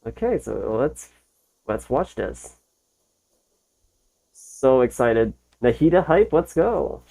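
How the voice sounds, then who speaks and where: An excitable host giving casual, gushing fan commentary in a reaction video. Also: mid-range voice, slow pace, creaky.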